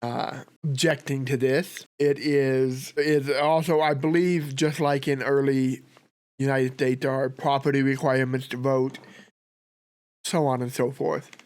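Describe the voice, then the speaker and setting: A man with a sore throat from a cold, hosting a history reaction with relaxed, rambling commentary. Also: medium pitch, a little slow. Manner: relaxed, rambling, thinks aloud with fillers. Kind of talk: casual commentary.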